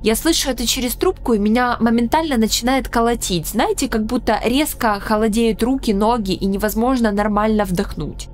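A young woman from Ukraine, speaking straight to camera with a studio mic in a small room, narrating her own story in an earnest, measured, solemn way. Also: fairly high pitch, soft background music. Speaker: channel host. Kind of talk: scripted personal narration. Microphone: studio microphone in frame.

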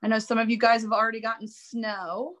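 A woman with a somewhat high voice leads a live online drawing class, talking casually as she works. Her voice is a touch raspy from allergies, and she thinks aloud in an upbeat, chatty way, often restarting her sentences. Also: flat delivery, fairly quick, fairly bright tone. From Florida. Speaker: art instructor.

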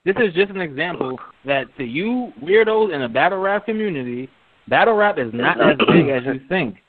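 A man's voice: a little high, animated, moderate pace, dry recording. A man hosting a podcast in casual chat, joking and ranting with emphasis. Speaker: podcast host. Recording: tinny phone-like audio.

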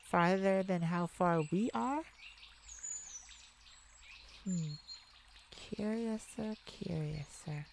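A woman playing a tenderhearted mage devoted to a werewolf partner in a romantic ASMR roleplay, soft, affectionate and creaky, with pauses. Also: lowish voice, slight background noise, heavily acted. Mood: cordial, diplomatic. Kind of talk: romantic ASMR roleplay, one-sided dialogue.

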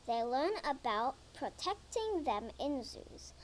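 Young girl reading a picture book aloud, high voice, very slow, careful, lively pitch. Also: slight background noise, low-quality recording. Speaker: reader.

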